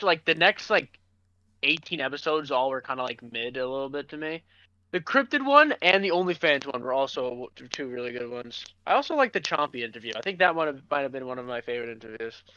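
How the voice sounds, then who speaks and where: A man chatting casually as a podcast co-host, rambling and halting as he goes. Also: mid-range voice, bright, forceful tone, clear, resonant tone, no echo, highs cut off. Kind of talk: casual chat.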